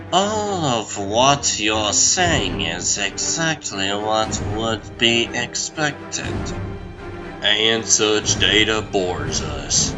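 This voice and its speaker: A man voicing a haughty, bored doctor in a comic dub, formal and coldly dismissive with drawn-out words. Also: lowish voice, heavily acted, low-quality recording. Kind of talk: fan comic dub voice acting.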